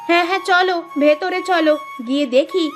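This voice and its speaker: A woman voicing a proud, sharp-tongued rich village wife in a cartoon, speaking emphatically. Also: very high-pitched, steady tone, a little fast, over music. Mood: haughty, impatient. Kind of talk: Bengali cartoon story dialogue.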